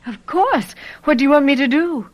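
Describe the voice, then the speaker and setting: An actress playing a spirited young fiancée in a radio mystery drama, with an animated, inquisitive manner. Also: female voice, high voice, normal speed. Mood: compliant, curious.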